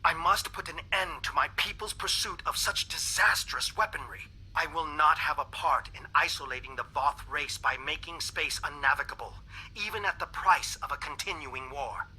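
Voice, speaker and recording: Solemn, bright-voiced alien scientist. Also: male voice, fairly high pitch, moderate pace, husky, heavily acted, poor audio. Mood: grave, resolute. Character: earnest alien scientist recording a grave final message. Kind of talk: dramatic monologue in a video game.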